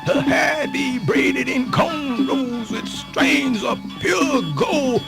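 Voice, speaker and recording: A man telling a folktale for radio theatre in a folksy, exclamatory, drawn-out storytelling manner. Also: extremely high pitch, gravelly. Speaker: storyteller narrator. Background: music.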